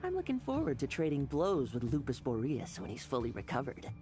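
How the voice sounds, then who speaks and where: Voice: medium pitch, huge pitch swings, a little fast. An actor playing a breezy, blunt young fighter in a fantasy game, musing casually and hungry for a good scrap. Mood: breezy, eager. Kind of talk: scripted fantasy game dialogue. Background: music.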